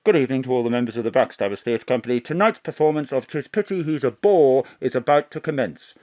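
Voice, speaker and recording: A woman's voice: a bass voice, lively intonation, quick pace, warm tone. A woman playing a theatre stage manager, making a formal backstage announcement in an audio drama. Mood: formal, brisk.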